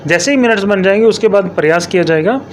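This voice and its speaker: A man's voice: high-pitched, expressive, fast talker, a little hiss or hum. A man answering interview questions as an elected local politician, explaining emphatically and repeating his points with English terms mixed in.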